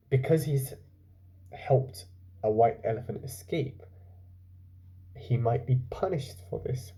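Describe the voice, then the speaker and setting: A man working as a teacher, reading a children's book aloud and pausing to explain it and put questions to his young listeners. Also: medium pitch, mellow, slightly rough. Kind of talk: storytelling with explanatory asides to children.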